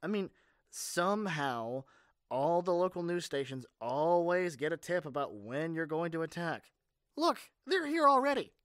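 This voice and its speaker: A man's voice: fairly high pitch, big pitch swings, measured pace, fairly bright tone, no echo, studio quality. A man playing a caring but cocky young superhero in an audio roleplay, speaking in an earnest, casual, teasing way. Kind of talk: amateur ASMR audio roleplay.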